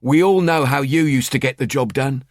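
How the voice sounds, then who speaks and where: A man's voice: medium pitch, normal speed, no room sound, studio quality, voice pushed up, natural voice. A man voicing a nagging middle-aged wife with an East London accent, sarcastic and scolding.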